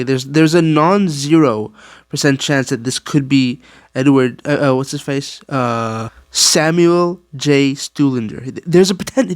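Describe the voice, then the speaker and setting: A man's voice: medium pitch, dry recording. Casual man commenting on games, dry sound. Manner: animated, slangy, digressive. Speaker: gaming video creator. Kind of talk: casual opinionated game commentary.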